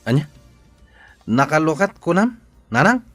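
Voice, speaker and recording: A man's voice: on the high side, very animated, a little slow, a bit bright, no echo, faint music behind, exaggerated voice. A man with a Filipino accent playing a mocking, skeptical grown son in an audio drama, full of incredulous questions. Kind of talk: Ilocano audio drama acting.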